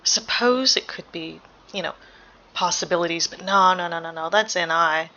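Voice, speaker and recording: A woman giving casual game reaction commentary, creaky-voiced, analytical and sweary as she thinks aloud. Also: lowish voice, normal speed, bright, forceful tone, muffled recording.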